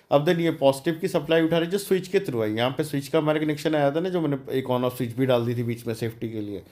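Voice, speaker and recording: Higher-voiced man explaining casually. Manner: informal, explanatory, mixes English technical words into Hindi. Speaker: DIY electronics tutorial host. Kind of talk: casual step-by-step tutorial narration.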